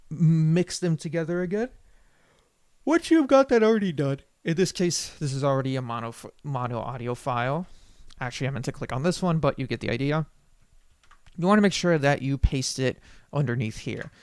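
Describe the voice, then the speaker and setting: A man's voice: medium pitch, dry recording. Male tutorial presenter, informal and rambling, self-correcting, unscripted walkthrough style, dry close sound. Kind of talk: unscripted software screen-recording tutorial.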